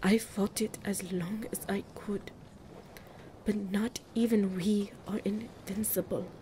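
A woman's voice: mid-range voice, steady tone, takes it slowly, dark tone, slight background noise, close to an everyday voice. A woman playing a grave, mystical female ruler in an audio roleplay, speaking formally and breathily as she pleads for her people. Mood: solemn pleading.

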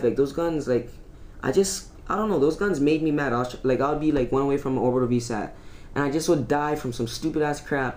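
A teenage boy giving casual, rambling commentary over his own gameplay recording at home, full of fillers and quick to complain. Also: medium pitch, a little mellow. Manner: rambling, filler-heavy, easily irritated. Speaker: gaming YouTuber. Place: home room.

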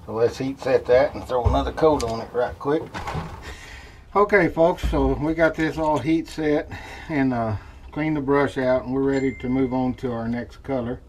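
A middle-aged man giving a relaxed, folksy running commentary as he demonstrates a hands-on craft at his workshop bench. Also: mid-range voice, moderate pace. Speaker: lure painter demonstrating. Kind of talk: casual how-to demonstration. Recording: noisy workshop with airbrush spray.

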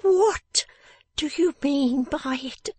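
A woman voicing a sharp-tongued, bossy young girl in a storybook, scolding in a shrill, imperious way. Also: sing-song, a little slow, dry recording. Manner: imperious, tart, emphatic scolding.

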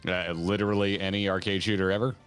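A man's voice: sits low, little pitch movement, fast talker, a bit bright, smooth. A man in his thirties streaming a game from home, joking and enthusiastic, swearing casually. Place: home room with bookshelves. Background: faint game music.

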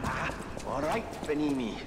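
A man's voice: a little high, lively intonation, moderate pace, noisy background, lightly acted. An actor playing a stern man in a video game who firmly reminds someone of his duty. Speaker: game character.

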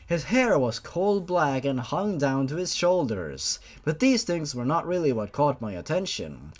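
A man's voice: a little high, sing-song, unhurried. A man hosting a dramatic read-aloud of a horror story as its narrator. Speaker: host reading a fan horror story aloud.

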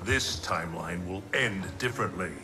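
An actor playing a solemn, commanding older thunder god in a video game, speaking with grave, formal diction. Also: male voice, deep voice, normal speed, music in the background, close to an everyday voice. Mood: defiant. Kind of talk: dramatic video game cutscene acting.

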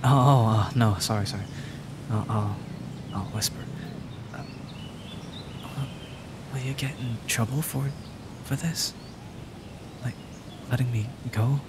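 Man voicing a slow, stammering, timid young dragon. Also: medium pitch, noisy background, lightly acted. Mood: hushed, worried. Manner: stammering, hesitant, rambling, apologetic. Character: nervous, adorkable young male dragon, injured and frightened. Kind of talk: ASMR roleplay audio drama.

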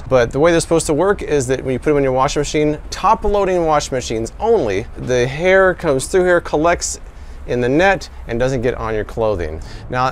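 A middle-aged American man in his forties giving a casual product review to camera. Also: fairly high pitch. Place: covered outdoor patio and indoor laundry room. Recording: noisy background ambience.

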